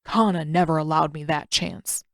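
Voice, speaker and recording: A woman's voice: low-pitched, steady tone, no echo, pitched below the performer's own voice. Female reader as elderly male mentor for fantasy storybook: dignified, strict, formal, talks down to the young, very dry sound. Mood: stern, dignified. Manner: formal, measured, condescending. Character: stern, formal, proud old male waterbending master. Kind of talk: audiobook-style fan fiction character reading.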